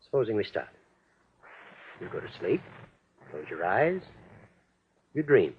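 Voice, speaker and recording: An actor playing an earnest, insistent physician in 1940s radio drama, with formal, deliberate, persuasive diction. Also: male voice, on the low side, sing-song, slight background noise. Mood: urgent coaxing. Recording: dull old radio drama recording.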